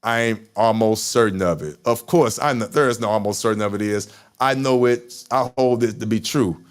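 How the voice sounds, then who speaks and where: Man, stutters, low smooth voice, podcast host. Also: moderate pace. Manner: stutters, repeats words. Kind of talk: casual live-stream chat.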